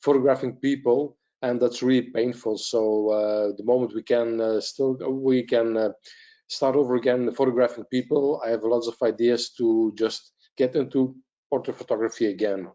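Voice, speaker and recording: A man from Belgium gives an earnest, halting, casual comment on an online call. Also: medium pitch, steady tone, warm tone. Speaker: audience participant, photographer. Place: online video meeting. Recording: dull online-call microphone.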